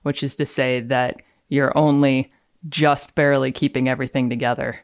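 A woman's voice: rumbling low, flat delivery, normal speed, dry recording, clean studio sound. A woman engineer speaking as a guest, explaining and reading aloud. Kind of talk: explanatory talk with dramatic readings.

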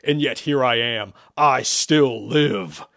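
A man voicing a roaring, foul-mouthed demon who taunts and boasts in a menacing, shouted manner. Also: mid-range voice, slow, vocal fry, dry recording, clean studio sound.

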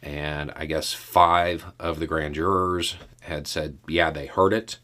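A man with a very low voice hosts a casual news commentary. He speaks slowly and in a measured way, leaving deliberate pauses as he works through each point. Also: steady tone, pushed and bright. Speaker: podcast host commentator.

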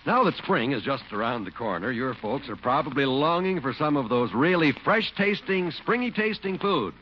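A male announcer reads a scripted commercial in the style of 1940s radio. His pitch is average for a man. The sound is muffled with faint noise. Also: animated, normal speed. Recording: muffled, noisy old radio broadcast.

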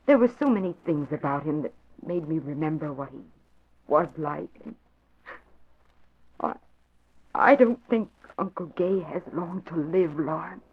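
An actress playing a troubled, soft-spoken young woman in a 1940s radio drama. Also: low voice, lively intonation, measured pace, pauses often, slightly rough, full character voice. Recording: tinny thin old radio recording.

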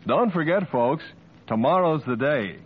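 A man's voice: fairly high pitch, animated, unhurried. A man announcing in polished, upbeat 1950s radio commercial style. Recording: muffled old radio recording with music.

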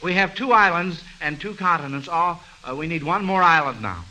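A man's voice: on the high side, animated, moderate pace, bright, forceful tone. A man with an American accent hosting a 1940s radio quiz show in a folksy, avuncular, hesitant patter. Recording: noisy, hissy old radio broadcast recording.

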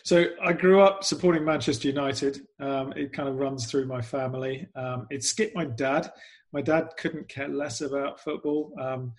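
A man's voice: medium pitch, steady tone, moderate pace. An English man from the UK, chatting casually as an interview guest, self-deprecating and full of "um"s. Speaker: guest, club PR executive.

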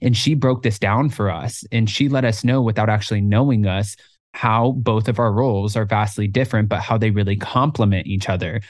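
A man in his twenties co-hosting a podcast in casual chat, talking in an animated way and jumping higher when he quotes himself. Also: a little fast, no room sound, clean studio sound. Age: 20s. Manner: animated, jumps up in pitch when quoting himself. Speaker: podcast co-host.

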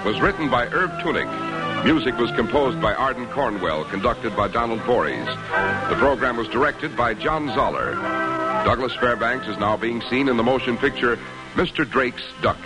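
A man's voice: mid-range voice, expressive, moderate pace, low-quality recording. An American man reading scripted narration as a mid-century radio announcer. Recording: old radio broadcast under loud music. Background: loud music.

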